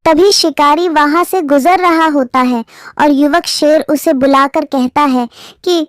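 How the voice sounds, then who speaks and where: A woman's voice: high voice, fairly even intonation, a little slow, no room sound, studio quality. A woman giving scripted storybook narration as the narrator of a fable.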